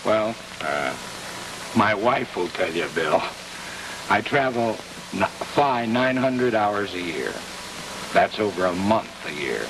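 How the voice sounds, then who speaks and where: An American man answering as an executive guest in a 1950s television interview, in earnest, measured short phrases. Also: lowish voice, very animated. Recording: distant, noisy 1950s television broadcast.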